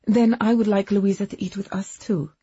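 A woman voicing a proud, softly steely young wife and hostess in an audiobook, with a soft Eastern European accent. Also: medium pitch, fairly even intonation, moderate pace, breathy edge, no room sound, dull audio. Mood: insistent.

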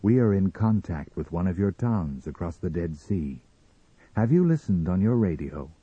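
A man voicing a cold, composed Martian for an audiobook story, speaking in a measured, formal manner. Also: sits low, big pitch swings, mellow, husky, dry recording, lo-fi sound, heavily acted. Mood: calm, grave. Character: calm, cold Martian in blue glass mask. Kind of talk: dramatised audiobook character reading.

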